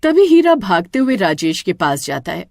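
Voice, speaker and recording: A woman's voice: mid-range voice, very animated, moderate pace, gravelly, no room sound, clean studio sound. A woman narrating a horror-comedy cartoon story in a dramatic, suspenseful way.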